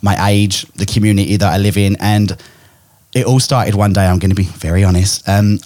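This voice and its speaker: A man's voice: fairly low pitch, fairly even intonation, a little mellow. A man in his thirties from England with a mixed English and Australian accent, chatting casually and joking at his own expense in a podcast interview at home. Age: 30s. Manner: chatty, self-deprecating, quick to joke. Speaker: event host and podcaster.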